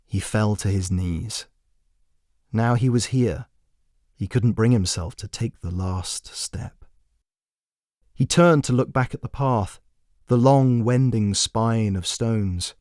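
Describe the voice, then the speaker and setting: A man narrating a dramatic scripted fantasy story with measured, deliberate phrasing. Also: fairly low pitch, darkish tone, no room sound, clean studio sound. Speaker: author and narrator.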